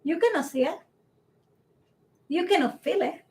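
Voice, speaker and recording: High-voiced woman speaking in halting English. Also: animated, darkish tone. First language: not English. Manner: earnest, emphatic, halting non-native English with many restarts. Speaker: podcast co-host. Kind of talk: casual chat.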